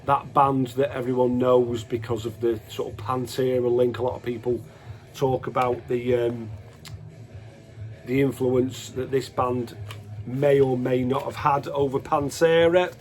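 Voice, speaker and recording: A man's voice: mid-range voice, level tone, measured pace, darkish tone, faint music behind, low-quality recording. A man giving casual, unscripted show-and-tell commentary as a host, in a hesitant, matter-of-fact way, often correcting himself. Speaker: host of a music collection video.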